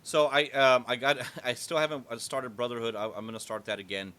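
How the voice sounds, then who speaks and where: A man hosting a casual podcast chat, animated and swearing casually as he talks. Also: mid-range voice, fairly quick, slight background noise. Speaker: podcast host.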